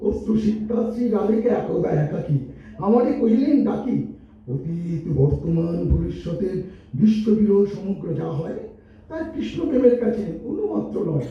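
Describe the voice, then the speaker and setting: A man's voice: high voice, big pitch swings, normal speed, vocal fry, heavily acted. A man playing a humble, wily wandering minstrel, pleading theatrically in rhyming verse in a stage audio drama. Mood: theatrical reporting. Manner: theatrical, supplicating. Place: multipurpose hall stage, live performance. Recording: echoey hall, distant muffled mic, background noise.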